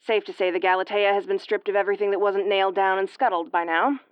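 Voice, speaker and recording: Sci-fi audio drama: woman as injured female first officer, sarcastic and weary, mid-pitched, dry sound. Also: fairly even intonation, fast, clear, resonant tone, no room sound, dull audio, lightly acted. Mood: weary, wry. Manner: exasperated, swearing, wry tomboyish delivery. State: woozy and battered. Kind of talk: audio roleplay acting, recorded log monologue.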